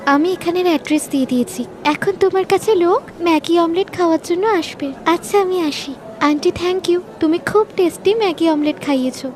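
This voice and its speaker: A woman's voice: very high voice, fairly quick, darkish tone, slight background noise, voice pushed up. A woman voicing an eager, encouraging young woman in a moral-story cartoon. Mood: cheerful. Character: earnest young woman, eager social media food fan.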